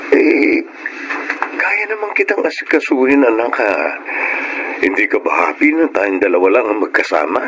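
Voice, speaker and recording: A man's voice: medium pitch, sing-song, moderate pace, long pauses, a bit bright, highs cut off, slight background noise. An actor playing a widowed young father who speaks tenderly and laughs nervously, narrating his own story in a radio drama.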